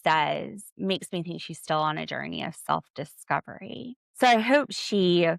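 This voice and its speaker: A young woman talks through a reality show recap in a loose, sarcastic way. Her voice crackles with heavy vocal fry. The sound is dry and close, with no room around it. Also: measured pace, dry recording. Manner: chatty and sarcastic, acting out quotes in a high voice. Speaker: podcast host. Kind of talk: casual recap chat.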